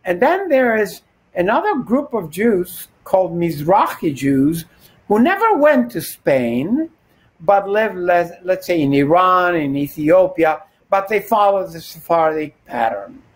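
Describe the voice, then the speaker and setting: An older man from Turkey, a rabbi, giving measured, scholarly explanations as an interview guest. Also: high-pitched, very animated, husky.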